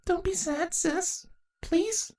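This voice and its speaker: A shrill little changeling girl. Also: lively intonation, slow, fairly bright tone, no echo, exaggerated voice. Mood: pleading. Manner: shrill, trying to sound threatening. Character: small fierce female changeling child.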